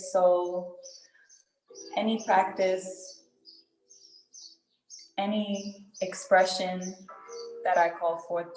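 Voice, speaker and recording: A woman leading a guided group meditation in an unhurried, soothing way, pausing often between phrases. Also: mid-range voice, a little mellow. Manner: unhurried, soothing instruction with pauses and check-in tags. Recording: roomy echo with music behind.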